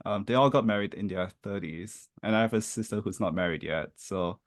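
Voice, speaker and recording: A man in his thirties from Malaysia, a podcast guest who talks in a relaxed, reflective way with many fillers. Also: lowish voice, a little fast, no room sound, clean studio sound. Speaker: interview guest. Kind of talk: casual interview chat.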